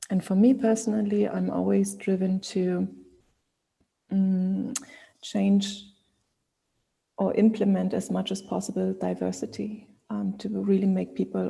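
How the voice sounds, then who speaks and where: A woman speaking on an online panel, thoughtful and pausing often to search for words. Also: medium pitch, creaky, mellow, husky, poor audio. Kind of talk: panel discussion.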